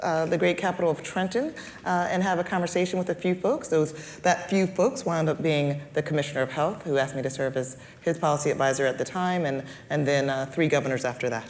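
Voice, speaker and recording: A woman guest with a deeper voice answering on a panel, speaking in a deliberate, measured way with formal wording. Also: slight background noise. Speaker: guest, healthcare executive.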